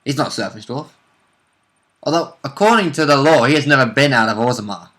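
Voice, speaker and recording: Bright-toned man chatting casually. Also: mid-range voice, lively intonation, fairly quick. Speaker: co-host of a tabletop roleplaying vidcast. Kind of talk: casual chat and banter.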